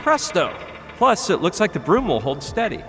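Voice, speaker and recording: A man's voice: high-pitched, sing-song, moderate pace, fairly bright tone, in a large hall, faint music behind, exaggerated voice. An actor voicing a sarcastic, joking young male adventure hero in a game. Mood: wry. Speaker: game protagonist.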